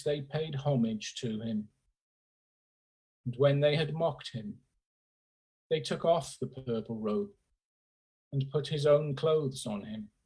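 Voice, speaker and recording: A man's voice: fairly high pitch, a little mellow, husky, rough recording. A man reading a scripture narrative aloud as part of a church service. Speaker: scripture reader.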